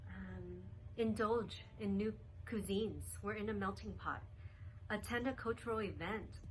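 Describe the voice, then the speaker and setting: A woman's voice: mid-range voice, a little slow, a little hiss or hum, rough recording. A woman giving a sincere, prepared personal statement for a community video. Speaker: community member sharing hopes.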